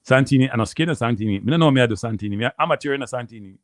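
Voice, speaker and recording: A Jamaican man hosting a live stream, rambling casually to his viewers and repeating himself, with a deep voice. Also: very fast, dry recording, studio quality. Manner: rambling, repeats phrases, addresses viewers as people. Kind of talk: casual livestream chat.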